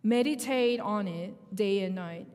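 Woman preacher, English, young adult, unhurried delivery, long hall reverb. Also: mid-range voice, a little mellow. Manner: laughs often, slow careful reading. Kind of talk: sermon with scripture reading. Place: church sanctuary.

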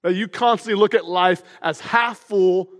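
A man preaching an energetic sermon as pastor, with a loud, emphatic delivery to a room full of people. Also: sits high, normal speed. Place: church auditorium. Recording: long hall reverb.

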